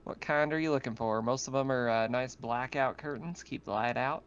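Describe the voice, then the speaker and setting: A man's voice: mid-range voice, fairly quick, a little hiss or hum, close to an everyday voice. A man playing a stubborn colony shopkeeper who haggles over prices in an improvised tabletop roleplaying game. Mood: bargaining. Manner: haggling, bargaining sales talk. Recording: muffled online voice call.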